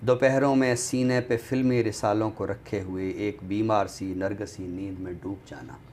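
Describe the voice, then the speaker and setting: Low-voiced man reciting Urdu poetry, noisy recording. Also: fairly even intonation, a little slow, a little mellow. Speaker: guest presenter reciting poetry on a literary show. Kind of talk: dramatic Urdu poetry recitation, then book talk. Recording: clearly noisy background ambience.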